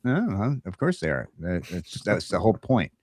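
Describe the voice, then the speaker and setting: A very low-voiced American man chats casually as a talk show co-host. His delivery is halting, opinionated and rambling. The sound is dry, with very little room in it. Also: very animated, darkish tone, dry recording. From United States. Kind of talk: casual morning podcast chat.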